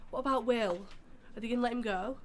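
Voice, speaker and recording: Woman acting frightened sister, guilt-ridden, protective, highish voice, pleading, audio drama, noisy recording. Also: quick pace, close to an everyday voice. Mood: guilty, upset. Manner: pleading, emphatic. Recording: clear background noise.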